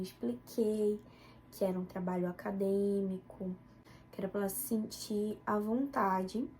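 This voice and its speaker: A woman's voice: a little high, warm tone, slight background noise. A young woman with a northern Brazilian accent from Belém, giving a student presentation as a personal account. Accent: northern Brazilian Portuguese, Belém. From Belém, Pará, northern Brazil. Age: young adult.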